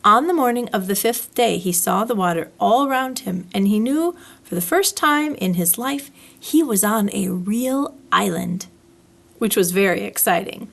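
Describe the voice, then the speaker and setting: A woman's voice: mid-range voice, expressive, a little slow, gravelly, a bit bright. A woman reading a children's story aloud as a storyteller, expressively, drawing out words for effect. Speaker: library storyteller.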